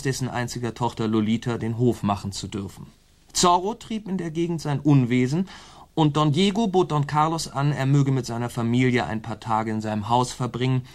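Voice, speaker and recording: A man's voice: mid-range voice, close to an everyday voice. An actor playing a scheming officer in a German radio drama, reporting to his superior in a deferential yet sly, insinuating way. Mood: sly, gossipy.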